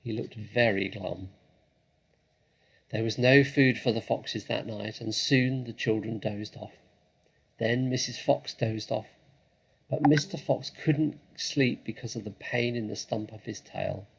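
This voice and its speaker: A man narrating a children's story dramatically, with expressive, suspenseful phrasing. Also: lowish voice, unhurried, creaky, husky, poor audio. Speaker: storybook reader.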